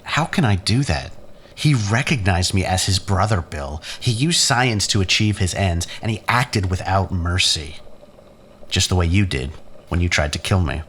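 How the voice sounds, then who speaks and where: A man plays a bitter, mocking scientist in a science fiction audio drama. His very low voice swoops theatrically through erudite phrases. Faint noise sits behind him. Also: lively intonation, normal speed, fairly bright tone. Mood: mocking, accusing. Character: sardonic, bitter scientist, an embittered younger brother. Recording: faint noise and room ambience.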